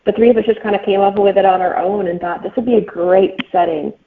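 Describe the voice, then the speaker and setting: A woman author chats as an interview guest over a thin, phone-like connection. Her pitch is mid-range, and she explains things informally and conversationally. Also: fairly even intonation, fast, slight background noise. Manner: informal explaining with frequent "you know". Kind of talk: casual interview chat. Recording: thin band-limited call sound.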